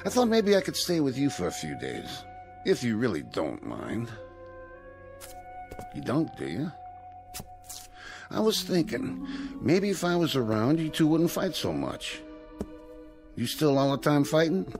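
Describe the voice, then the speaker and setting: Radio play role: naive male escaped con, slow drawn-out delivery, hugely animated, affable and slow-witted. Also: medium pitch, over music. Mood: affable, sheepish. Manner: folksy, slow-witted, ingratiating. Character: dim, affable male escaped-convict brother, naive and chatty. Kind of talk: radio drama acting.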